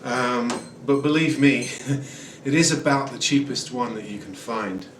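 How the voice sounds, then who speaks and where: Male, 40s, casual home gear reviewer, mid-pitched, rambling delivery, distant camera audio with room echo. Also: measured pace, background noise. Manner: relaxed, rambling, many ums and uhs. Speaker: guitar reviewer. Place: small room at home, indoors. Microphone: camera mic at a distance. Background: acoustic guitar playing.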